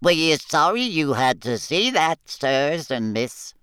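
A polite, ashamed little male house-elf from a storybook squeaks out his apologies, voiced by a male narrator. He speaks slowly, in a voice set a little above normal pitch. The sound is dry and close. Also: sing-song, fairly bright tone, clear, resonant tone, dry recording, exaggerated voice. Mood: apologetic.

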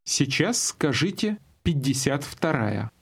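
Husky-voiced male course narrator. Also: medium pitch, sing-song, takes it slowly. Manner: clear, guiding prompts. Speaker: language course instructor. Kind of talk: scripted instruction.

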